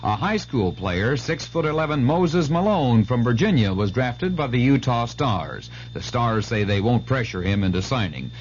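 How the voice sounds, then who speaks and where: A man's voice: mid-range voice, sing-song, moderate pace, hardly any pauses. Male radio sportscaster, dull vintage sound. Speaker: radio sports and weather announcer. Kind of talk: scripted news bulletin reading. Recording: dull old radio broadcast, faint hum.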